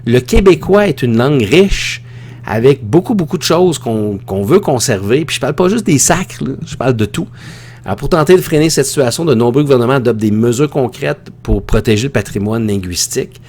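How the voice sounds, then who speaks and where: A male high school teacher speaks with a Quebec French accent at a medium pitch. He delivers his online lesson informally, as if in conversation. He readily gives his own opinions and wanders into asides. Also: lively intonation, brisk, a little mellow. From Quebec, Canada.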